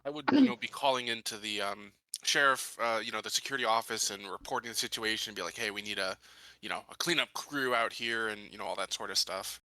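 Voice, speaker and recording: Very sharp-voiced man at a game. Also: lowish voice, fast talker, no room sound, poor audio. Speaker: player in a tabletop roleplaying game. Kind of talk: casual game talk.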